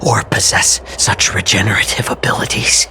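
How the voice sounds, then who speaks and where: An actor voicing a calm male healer held hostage in a video game cutscene, speaking in a measured, bargaining way. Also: fairly low pitch, a little hiss or hum. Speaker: captive healer. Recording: game cutscene with music behind.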